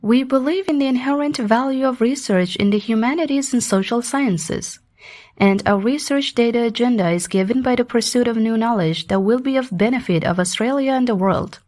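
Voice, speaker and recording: A woman's voice: on the high side, moderate pace, darkish tone. A woman reading formal passages aloud as scripted narration. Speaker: pronunciation practice narrator.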